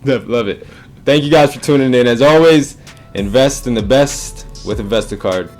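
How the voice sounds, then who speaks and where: A man's voice: medium pitch, normal speed, darkish tone, soft background music. An American man hosting a podcast with casual interview chat. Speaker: podcast host.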